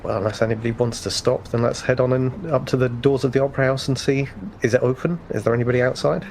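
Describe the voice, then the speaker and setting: A man's voice: medium pitch, fairly even intonation, fast, creaky, darkish tone, a little hiss or hum. A man playing a tabletop role-playing game, chatting casually in a hesitant, polite way.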